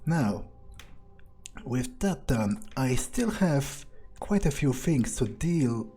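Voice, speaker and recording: A man's voice: mid-range voice, very animated, slow, dark tone, slightly rough, faint music behind. A man improvising an in-character gameplay monologue as a sardonic vampire, musing hesitantly and casually to himself with dry, morbid humor. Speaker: let's player role-playing a character.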